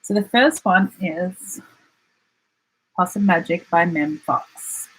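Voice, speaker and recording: Children's storyteller: Australian woman, slightly husky, slow, expressive, dramatic emphasis. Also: on the low side, poor audio. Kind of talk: children's picture book read-aloud.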